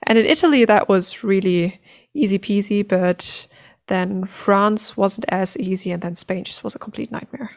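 A woman's voice: mid-range voice, moderate pace, creaky. A woman speaking as a podcast guest, telling travel anecdotes in a casual, informal way.

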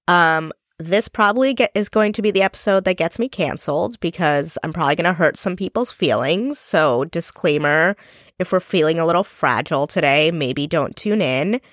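Woman podcast host, young adult, stuffy congested voice, lowish pitch, blunt and sweary, dry close sound. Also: moderate pace, a bit bright, no room sound. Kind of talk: solo podcast monologue.

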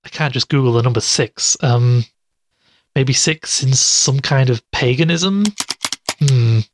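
An actor playing a skeptical investigative podcast host in an audio drama, haltingly thinking aloud. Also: male voice, mid-range voice, noisy background, natural voice. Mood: puzzled, skeptical. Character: skeptical male investigative show host puzzling over clues.

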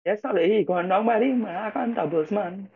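A man's voice: high voice, fairly quick, husky, phone-quality sound. A man with a Trinidadian accent who talks insistently and repeats himself in a casual podcast chat. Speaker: podcast co-host.

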